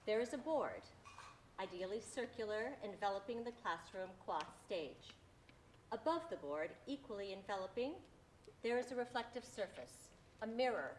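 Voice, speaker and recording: A woman reading scripted stage directions as narrator, in deliberate, measured phrases. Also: mid-range voice, slight background noise, poor audio.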